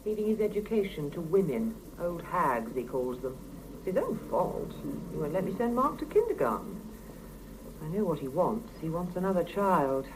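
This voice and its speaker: A woman's voice: on the low side, big pitch swings, moderate pace, noisy background. An actress in a 1970s radio play voicing an outspoken, sardonic Soviet working mother with a teasing, emphatic delivery, on an old cassette recording. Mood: aggrieved, sardonic. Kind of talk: 1970s radio drama acting.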